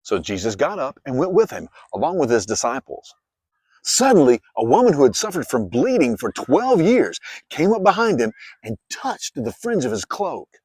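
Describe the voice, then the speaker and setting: Guy reading bible stories, super animated intonation, very dry close sound, clear phrasing. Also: mid-range voice, normal speed, dry recording. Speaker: Bible narrator. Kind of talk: dramatized scripture narration.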